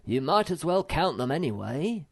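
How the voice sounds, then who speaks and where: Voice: mid-range voice, big pitch swings, moderate pace, vocal fry, voice pushed up. A man putting on an earnest, slightly bossy young male troll, his voice lifted well above the reader's own and pushed forward. The tone rises and falls eagerly, still with a grainy edge, as in a storybook read aloud.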